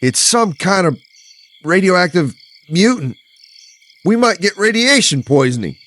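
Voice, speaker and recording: An actor playing a jumpy, excitable sheriff's deputy who blurts things out, in an audio drama. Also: male voice, high voice, a little slow, exaggerated voice. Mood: alarmed. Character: jumpy, excitable male sheriff's deputy, skeptical and easily alarmed.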